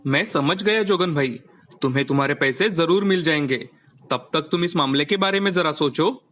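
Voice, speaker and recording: A man's voice: fairly high pitch, normal speed, fairly bright tone, natural voice. An actor playing a humble, earnest man in a moral story, speaking politely and pleadingly. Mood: troubled, pleading. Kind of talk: Hindi moral-story voice acting.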